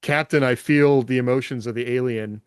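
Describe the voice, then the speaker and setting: A man's voice: mid-range voice, fairly even intonation, moderate pace, clear, resonant tone, no echo. A man co-hosting a podcast, chatting casually about science fiction and musing as he thinks aloud. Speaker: podcast co-host.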